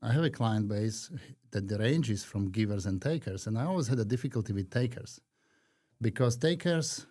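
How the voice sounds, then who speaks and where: A man in his fifties from Slovakia with a Slovak accent, talking earnestly and reflectively as a podcast guest, with frequent pauses. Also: on the low side, lively intonation, warm tone. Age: 50s. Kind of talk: conversational interview.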